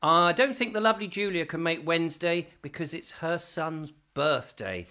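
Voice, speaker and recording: A chatty, slightly high-pitched man hosting a rambling live comedy show. He sounds bright and forceful and swings all over his range as he jokes and reads comments aloud. He is speaking from a home kitchen. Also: animated, moderate pace. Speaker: comedy chat show host. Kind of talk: comic rambling live chat.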